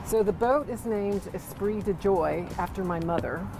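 A woman's voice: medium pitch, moderate pace. A middle-aged woman who owns a charter business, speaking in an on-camera interview outdoors. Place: outdoors at a marina dock. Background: music.

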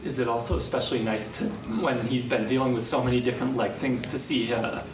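A man's voice: mid-range voice, steady tone, quick pace. A man working as a sports reporter, asking spontaneous questions at a press conference. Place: indoor press conference room. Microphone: off-mic from across the room. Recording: echoey, distant, clearly noisy room.

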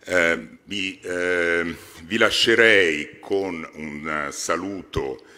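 Very deep-voiced man speaking in a hall. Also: bright, forceful tone. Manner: halting, frequent hesitations. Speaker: foundation president. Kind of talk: formal speech at a podium. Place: large exhibition hall stage. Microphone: podium microphone. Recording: reverberant hall.

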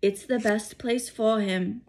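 A woman voicing a calm, solemn old male wizard in a storybook read-aloud, measured and firm. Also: medium pitch. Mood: firm, serious.

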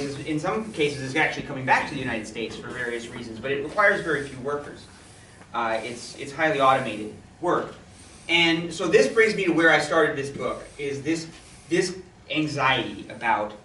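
A man in his thirties lectures to a room without a microphone, his bright, projecting voice filling an echoey hall. He is picked up from a distance, with a faint background hiss, and often circles back to restart a phrase. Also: a little high. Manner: loud, unamplified, restarting phrases. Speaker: guest speaker, author. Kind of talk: public lecture. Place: community hall, indoors. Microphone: no mic, far camera. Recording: echoey hall, far from the mic, faint noise.

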